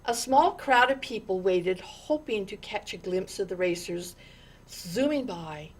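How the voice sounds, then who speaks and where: A woman reading a children's story aloud in an expressive, deliberate way, with dramatic pauses and stressed words. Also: medium pitch, slightly rough. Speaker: storytime reader.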